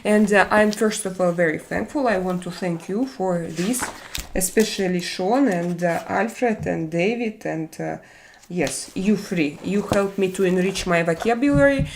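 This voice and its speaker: A Russian woman with a Russian accent, hosting a casual live-stream chat in English, her second language, often pausing with "uh" as she searches for words. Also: fairly low pitch, a little hiss or hum. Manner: halting, frequent "uh" fillers while searching for English words.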